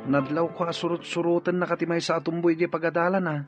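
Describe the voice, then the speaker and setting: A man's voice: a little high, level tone, fast talker, soft background music, close to an everyday voice. A man with an Ilocano Filipino accent acting a protective, advising older brother in an audio drama. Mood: brotherly, teasing.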